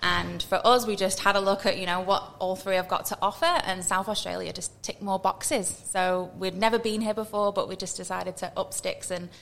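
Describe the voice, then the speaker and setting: A woman's voice: medium pitch, steady tone, creaky, fairly bright tone. A woman from Manchester with a Northern English accent, chatting as a podcast guest in a bubbly, talkative way. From Manchester, England. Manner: chatty, bubbly, quick asides. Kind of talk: casual interview chat.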